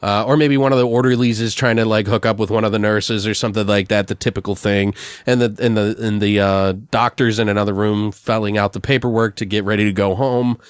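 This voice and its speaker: Man, podcast host, deeper pitch, dry close sound, slangy rambling chat, profane. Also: fairly even intonation, brisk, clear, resonant tone, no echo. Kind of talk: casual brainstorming chat.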